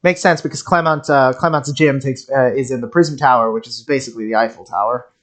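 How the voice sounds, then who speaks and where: A streamer of middling pitch talks through game content off the cuff. The delivery is wry and geeky, wandering as thoughts arrive. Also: a little fast. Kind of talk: casual stream commentary on game voice lines.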